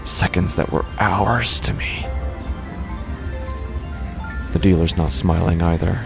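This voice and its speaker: A man playing a desperate, unraveling gambler, narrating a horror audio drama in the first person with halting, haunted, dramatic delivery. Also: low voice, vocal fry, over music, exaggerated voice. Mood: shaken, frightened.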